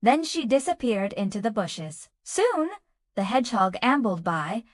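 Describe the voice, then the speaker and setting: A woman narrates a scripted bedtime story for children. Her voice sits a little high. The sound is very dry, with no room around it. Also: big pitch swings, unhurried, dry recording. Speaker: children's storyteller and channel host.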